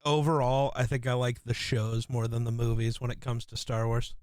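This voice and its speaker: A man co-hosting a casual podcast, an excitable fan who swears casually. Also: mid-range voice, moderate pace, no echo, studio quality. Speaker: podcast co-host. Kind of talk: casual chat.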